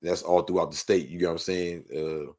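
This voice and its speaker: A man's voice: sits low, little pitch movement, a little fast, dark tone. A man from Los Angeles with a local accent, talking in a casual, slangy way as a podcast guest telling his story. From Los Angeles, United States. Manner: slangy, peppered with "you know what I mean".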